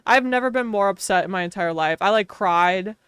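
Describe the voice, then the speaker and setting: A woman's voice: medium pitch, fairly quick, a bit bright, smooth, dry recording, clean studio sound. A woman from Wisconsin with a Wisconsin accent, chatting casually while streaming a game, laughing often and saying "like" a lot. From Wisconsin, USA. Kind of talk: casual livestream chat.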